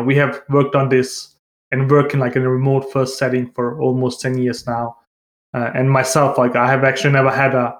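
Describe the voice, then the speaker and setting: A man from Bosnia who grew up in Denmark, speaking informally and hesitantly as a guest in a casual podcast interview, often saying "like". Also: mid-range voice. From born in Bosnia, grew up in Denmark. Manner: informal, hesitant, frequent "like" and "you know". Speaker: interview guest, tech company founder. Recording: roomy, echoing sound.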